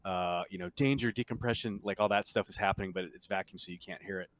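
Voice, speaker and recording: A man running a tabletop game, narrating off the cuff in a halting, conversational, explanatory way. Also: sits low. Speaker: game master.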